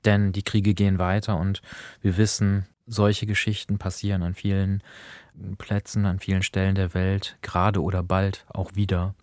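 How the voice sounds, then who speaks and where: A man in a reflective radio discussion, speaking thoughtfully and haltingly as he searches for words. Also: sits low, little pitch movement, a little mellow, dry recording, studio quality. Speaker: guest commentator on a radio drama programme.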